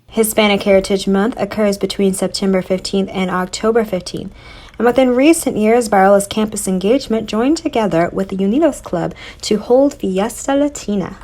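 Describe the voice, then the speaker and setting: A woman reading a scripted news voice-over in an even, informative way. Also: mid-range voice, normal speed. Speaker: news narrator.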